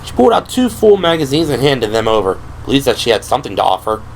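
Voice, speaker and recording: A man's voice: mid-range voice, very animated, quick pace, gravelly, slight background noise, low-quality recording. A man reading scripted third-person narration for an audio drama. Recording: music behind the voice.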